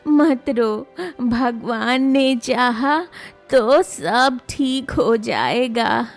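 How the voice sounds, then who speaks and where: A woman voicing an elderly, gentle and caring mother-in-law who speaks slowly and softly. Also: on the high side, lively intonation, faint music behind, heavily acted. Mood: comforting. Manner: slow, soft, comforting. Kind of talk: cartoon voice acting.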